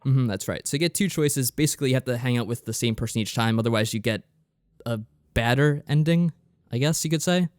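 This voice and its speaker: A man's voice: medium pitch, fast, a little mellow, clear, resonant tone, no room sound, studio quality. A man co-hosting a podcast, explaining things in a casual, loosely phrased review style. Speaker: podcast co-host.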